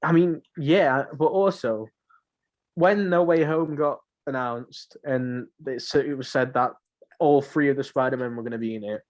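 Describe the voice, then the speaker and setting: A man's voice: medium pitch, moderate pace. A young man co-hosting a podcast in casual, rambling, informal chat. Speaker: podcast co-host. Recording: muffled lo-fi home recording.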